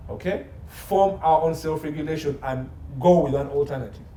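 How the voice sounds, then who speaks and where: A man's voice: fairly high pitch, normal speed, dark tone. A man giving opinionated interview commentary as an expert guest, assertive and emphatic, fond of repetition and rhetorical questions. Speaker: guest expert, entertainment law consultant. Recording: roomy echo, faint background noise.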